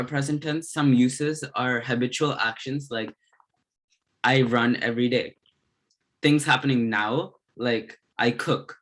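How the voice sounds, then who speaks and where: A man giving a slide-based language lesson as a tutor, explaining in short, halting phrases with pauses. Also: mid-range voice, fairly bright tone. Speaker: Spanish grammar tutor.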